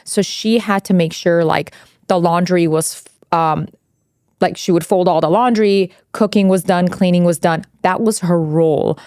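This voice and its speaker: Candid, swearing woman co-host, lower voice. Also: unhurried, darkish tone, no echo, clean studio sound. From Iran. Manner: candid, swears freely, goes off on tangents. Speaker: podcast co-host. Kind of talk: casual chat.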